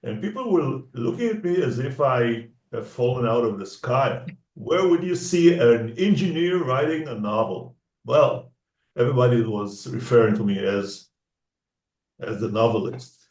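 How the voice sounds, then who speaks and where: A man in his seventies with an Israeli accent, a podcast guest talking in a measured, precise way with dry humor. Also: mid-range voice, animated, a little mellow, echoey room, distant mic, poor audio. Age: 70s. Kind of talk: casual interview chat.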